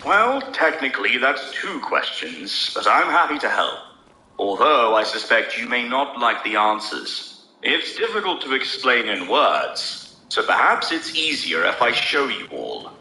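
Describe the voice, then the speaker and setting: A man voicing a calm, faintly mocking male prisoner who speaks in a measured way. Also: lowish voice, reverberant, a little hiss or hum, poor audio. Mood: sneering.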